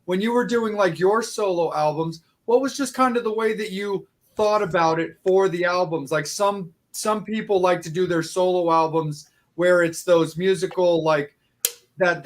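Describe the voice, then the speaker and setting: A male podcast host asking long, rambling questions full of fillers over a video call. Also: high-pitched. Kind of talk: casual interview hosting.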